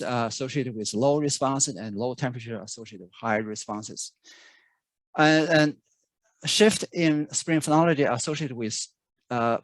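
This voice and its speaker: Halting man giving a research talk. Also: mid-range voice, no echo. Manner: halting, many fillers and restarts. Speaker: researcher presenting. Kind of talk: conference talk. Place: remote video call.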